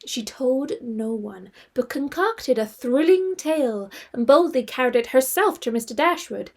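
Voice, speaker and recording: Composed woman narrator, high voice, literary period storytelling for audio drama. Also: animated, measured pace, heavily acted. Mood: wry, composed.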